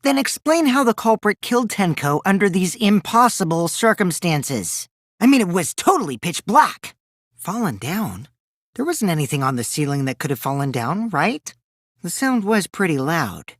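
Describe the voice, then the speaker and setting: A man's voice: high voice, sing-song, normal speed, a bit bright, no room sound, clean studio sound. An actor playing a mischievous, taunting teenage boy in a video game, theatrical and teasing, with a youthful boyish voice that can turn from cutesy to cold. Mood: playful, explaining. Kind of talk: animated game dialogue in a murder-mystery trial.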